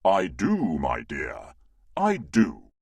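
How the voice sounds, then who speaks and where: A man's voice: deep voice, huge pitch swings, extremely slow, vocal fry, breathy edge, no echo, voice pushed down, full character voice. A man voicing a stately, pompous, ceremonious royal figure in a children's storybook. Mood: fond. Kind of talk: children's storybook character acting.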